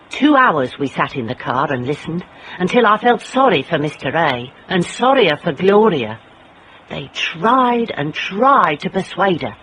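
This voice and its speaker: A woman's voice: mid-range voice, a bit bright, a little hiss or hum, poor audio. An actress playing a shrewd female private investigator in a radio drama, speaking and narrating in a wry, controlled, pointed way. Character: shrewd, steely female private investigator, quietly morally indignant. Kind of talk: radio drama acting and first-person narration.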